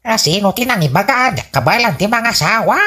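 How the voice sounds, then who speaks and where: Husky, high-voiced stubborn old father. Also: animated, brisk, pushed and bright, full character voice. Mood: insistent. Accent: Ilocano. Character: stubborn, whiny old father wanting a new wife. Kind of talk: radio comedy drama acting.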